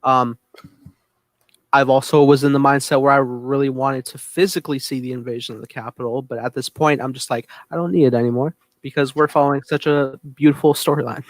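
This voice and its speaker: A man with a medium-pitched voice hosts a fan podcast. He speaks conversationally into a close microphone, and the recording is very dry. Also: steady tone, a little fast, no echo. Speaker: podcast host steering the show. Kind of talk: casual fan podcast hosting.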